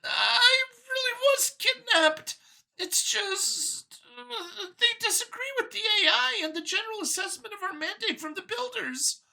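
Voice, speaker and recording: A woman's voice: very high voice, animated, a little slow, creaky, pushed and bright, voice pushed up, full character voice, poor audio. A woman voicing a nervous, boastful female alien scientist from an audio story, whiny and gushing as she justifies her elitist views. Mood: nervous, whining. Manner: gushing, over-eager justifying.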